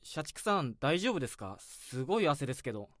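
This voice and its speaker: An actor playing a polite, easygoing young junior coworker in a radio drama. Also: male voice, medium pitch, big pitch swings, fairly quick, fairly bright tone, no room sound, studio quality. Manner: polite, easygoing, slightly casual speech. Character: friendly polite young male office junior.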